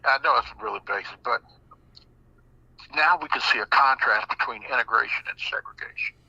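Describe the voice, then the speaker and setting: A man talking on a radio show in an animated, folksy way, often repeating words as he goes. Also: mid-range voice, moderate pace, husky, no echo, dull audio. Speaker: guest speaker.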